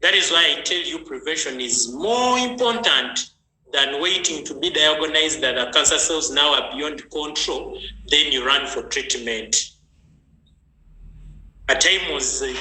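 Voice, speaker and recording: Man, very bright voice, echoey room, faint noise, chatty online host. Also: fairly high pitch. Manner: chatty, greets viewers by name. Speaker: health session host. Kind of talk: online training talk.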